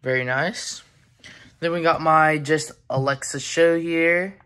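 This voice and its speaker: Halting, informal hobbyist presenter, mid-range voice, off-the-cuff show-and-tell on a noisy handheld home recording. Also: mid-range voice, fairly even intonation, fairly bright tone. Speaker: collector presenting weather radios. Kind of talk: casual show-and-tell vlog. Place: indoors in a home room. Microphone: handheld phone camera.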